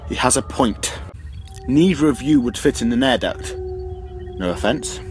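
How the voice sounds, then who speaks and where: A man's voice: medium pitch, animated, a little slow, music in the background, close to an everyday voice. A man playing a blunt, watchful spaceship captain in a fan audio drama.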